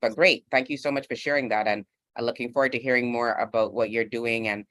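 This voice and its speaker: A man's voice: mid-range voice, steady tone, fast talker, a bit bright, no room sound, studio quality. A man speaking off the cuff on a panel, conversational and thoughtful, often dropping in "you know". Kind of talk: unscripted panel discussion.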